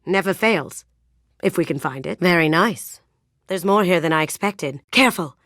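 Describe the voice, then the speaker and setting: An actress playing a sly, confident young female master thief in a video game, with playful, hushed, coolly self-assured delivery. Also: on the low side, moderate pace, dry recording, clean studio sound. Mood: cool, focused, then sharp warning. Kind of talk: game character voice lines.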